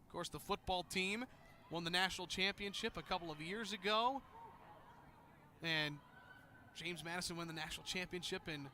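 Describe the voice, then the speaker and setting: A man's voice: high-pitched, normal speed, long pauses, sharp tone. An American man doing live play-by-play sports commentary, with the sound of an outdoor game behind him. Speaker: play-by-play announcer. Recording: clear outdoor stadium noise behind. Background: outdoor ambience of a game.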